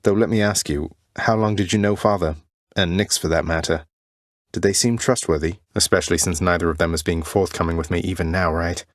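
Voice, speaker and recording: A man playing an earnest, defiant young prince of the underworld in a video game, speaking in formal, old-fashioned wording with halting, heartfelt delivery. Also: low voice, steady tone, no room sound, clean studio sound, close to an everyday voice. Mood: searching, gentle. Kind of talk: video game character dialogue, dramatic acting.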